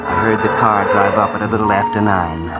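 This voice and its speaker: A man's voice: lowish voice, steady tone, fairly quick, loud music behind the voice, close to an everyday voice. An actor playing a scheming man who framed his wife, narrating coolly and broodingly in 1940s radio acting on an old dull recording.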